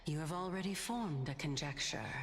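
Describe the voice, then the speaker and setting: A woman's voice: deep voice, expressive, moderate pace, a little hiss or hum. An actress playing an imperious, knowing woman of great age in a dramatic game, regal and teasing. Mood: probing.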